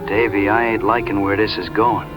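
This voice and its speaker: An actor playing a wary, worried frontier sidekick in an audio drama, blunt and folksy with a rural drawl. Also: male voice, on the low side, steady tone, moderate pace, music in the background, close to an everyday voice. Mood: uneasy.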